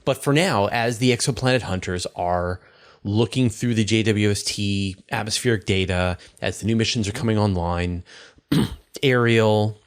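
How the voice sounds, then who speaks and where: A man's voice: on the low side, moderate pace. A middle-aged man hosting a casual interview over a video call, asking conversational questions. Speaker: interview host. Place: indoor room on a video call.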